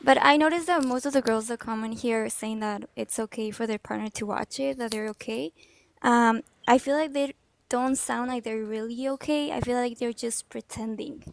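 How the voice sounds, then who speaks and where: A woman's voice: a little high, moderate pace, gravelly, dry recording. Girlish-voiced young woman calling in. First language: not English. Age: 20s. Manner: hesitant, with fillers and light laughs. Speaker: caller. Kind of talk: casual call-in talk.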